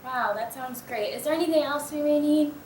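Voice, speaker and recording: A woman's voice: high voice, a little fast. A woman playing the host in a scripted mock TV news interview. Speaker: news interviewer in a readers theater skit. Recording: echoey room, distant mic, background noise.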